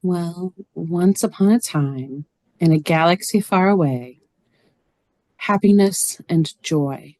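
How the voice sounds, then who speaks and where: A woman's voice: on the low side, takes it slowly, a little mellow, dry recording. Earnest, weary farm mother, acted by a woman. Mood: wistful, earnest. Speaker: actor in a staged reader's theater. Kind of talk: stage play reading.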